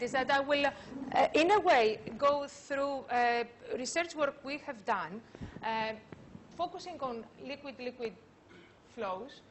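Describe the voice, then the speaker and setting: High-voiced woman lecturing, noisy background. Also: fairly bright tone. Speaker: university lecturer giving a talk. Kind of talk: academic conference lecture.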